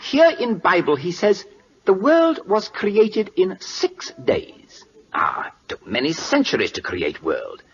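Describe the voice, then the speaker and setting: A man's voice: high voice, wildly swooping pitch, slow pace, breathy edge, exaggerated voice. An actor playing an imperious, inquisitive King of Siam in a radio drama, with a put-on Siamese accent and pompous, clipped broken English. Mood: demanding, inquisitive. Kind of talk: 1940s American radio acting. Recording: muffled old-time radio recording.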